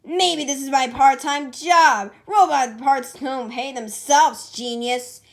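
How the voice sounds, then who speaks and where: A petty cartoon villain, a mad doctor who mocks loudly, as a woman reader puts him on. He is snide and sneering, high in pitch, with slow, deliberate delivery. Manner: loud, snide, mocking.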